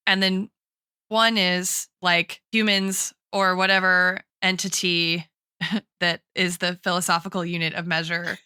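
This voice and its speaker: Bright, crisp-voiced woman thinking aloud. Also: lowish voice, level tone, unhurried, no room sound, studio quality. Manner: thinking aloud, checks in with "right?". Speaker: podcast co-host and guest expert. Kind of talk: casual analytical podcast discussion.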